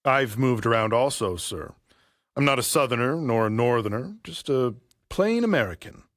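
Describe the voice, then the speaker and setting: An actor playing a polite, deferential, chatty barber in an audio play. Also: male voice, mid-range voice, expressive, moderate pace, dry recording, clean studio sound, lightly acted. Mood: calm, probing. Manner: polite, deferential, repeatedly saying sir. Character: deferential, chatty barber quietly stalking his customer. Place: professional recording studio.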